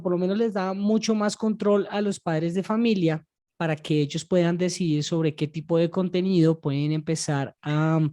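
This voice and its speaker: A man co-hosting a podcast, explaining news in a measured, drawn-out way with frequent fillers. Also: sits high, dark tone. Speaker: podcast co-host. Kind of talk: conversational explainer.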